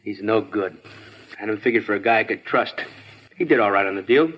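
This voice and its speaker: A man's voice: on the low side, brisk, husky. An actor playing a sullen young male addict suspect in a 1950s radio crime drama, answering evasively. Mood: defensive. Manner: defensive, evasive. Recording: dull lo-fi 1950s radio recording.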